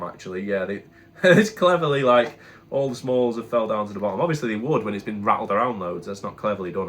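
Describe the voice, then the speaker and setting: A man's voice: mid-range voice, a bit bright. A British man with a congested head cold, casually reviewing products in a chatty, candid, rambling way with frequent restarts. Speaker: product reviewer, channel host.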